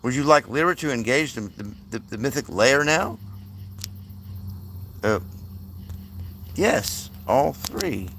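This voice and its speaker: A man hosting his channel, talking casually and profanely over his screen, rambling and excitable with many asides to viewers. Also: mid-range voice, takes it slowly, vocal fry, long pauses, a little hiss or hum. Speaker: channel host. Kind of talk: casual screen-share commentary.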